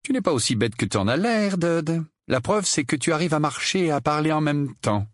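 A man's voice: fairly high pitch, expressive, moderate pace, no room sound, voice pushed up, lightly acted. A man voicing a defiant, sarcastic teenage boy who taunts and mocks, in a storybook reading. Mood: smug, taunting. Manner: mocking, taunting, dry.

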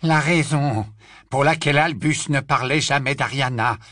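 Brief: stiff old wizard, voiced by a man, wheezy and measured in a dry booth. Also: sits high, big pitch swings, a bit bright, dry recording, pitched above the performer's own voice, full character voice. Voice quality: measured, wheezy, cold and formal. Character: stiff, solemn old wizard, loyal and offended.

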